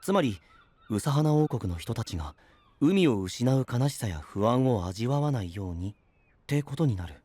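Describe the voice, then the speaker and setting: An actor playing a gentle, encouraging and thoughtful young man, speaking softly and in a measured way in an anime audio drama. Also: mid-range voice, big pitch swings, slightly rough, no echo. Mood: reasoning.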